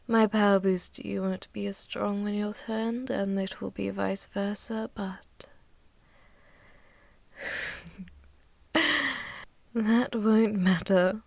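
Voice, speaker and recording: An ancient, ruthless vampire mistress, acted by a woman for an ASMR audio roleplay. She speaks slowly and softly into a close, dry microphone, often sinking into breathy whispers. Her manner is haughty and possessive, with a teasing, seductive edge. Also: medium pitch, dry recording. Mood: tender, teasing. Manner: slow, teasing, haughty, commanding. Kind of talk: intimate ASMR audio roleplay, monologue to listener.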